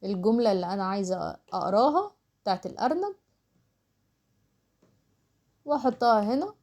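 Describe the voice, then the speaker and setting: A woman's voice: medium pitch, animated, a little fast, a little mellow. A woman with an Egyptian Arabic accent giving a casual step-by-step screen tutorial, explaining as she goes and mixing in English terms. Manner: explains while doing, with English terms mixed in. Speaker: online tutorial instructor.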